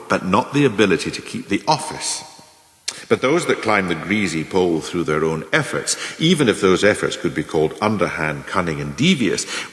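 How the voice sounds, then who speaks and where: A man in a radio play voicing a scheming, self-assured management mentor who lectures formally with deliberate, emphatic phrasing. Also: sits low, a bit bright. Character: scheming, self-assured male management mentor dispensing cynical career lessons. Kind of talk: scripted dramatic monologue, like a lecture of numbered lessons. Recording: very echoey, long hall-like reverb.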